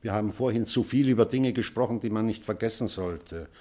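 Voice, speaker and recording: An actor playing a weary, remorseful old male schoolteacher in a literary drama, pensive and measured, with weighty pauses. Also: on the low side, mellow, lightly acted. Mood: urgent, reflective. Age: older. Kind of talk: German literary stage drama.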